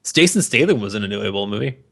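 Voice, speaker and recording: A man co-hosting a podcast, chatting casually and profanely about movies in an opinionated, mocking, animated way. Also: on the low side, quick pace, vocal fry. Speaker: podcast co-host.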